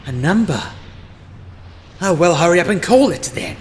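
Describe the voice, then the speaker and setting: A man's voice: high-pitched, lively intonation, slight background noise. A man playing a scheming, self-important male time-meddler in a fan audio drama, speaking with sardonic impatience. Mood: impatient. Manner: sardonic and impatient, slow deliberate delivery.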